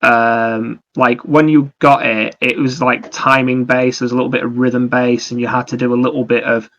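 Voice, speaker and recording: A man's voice: medium pitch, little pitch movement, normal speed, a bit bright, lo-fi sound. A man chatting casually as a podcast co-host about video games, explaining things in a rambling, anecdotal way.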